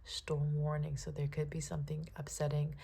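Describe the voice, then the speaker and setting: A woman with a raspy, froggy early-morning voice, giving a spiritual tarot reading with spoken prayers in a calm, soft-spoken way that often checks in with listeners. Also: low voice, normal speed. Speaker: tarot reader.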